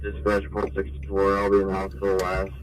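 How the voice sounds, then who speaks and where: A man's voice: low voice, completely flat delivery, a little fast. A male police officer giving terse, matter-of-fact radio calls, heard through a scanner. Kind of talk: clipped police radio traffic. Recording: scanner radio amid clear car noise. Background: vehicle noise.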